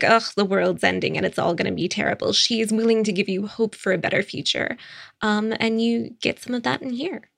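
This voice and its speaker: A woman's voice: mid-range voice, creaky, a bit bright, no echo, studio quality. A woman hosting a book recommendation show, speaking in an articulate, conversational way as a critic. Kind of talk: presenting book recommendations to camera.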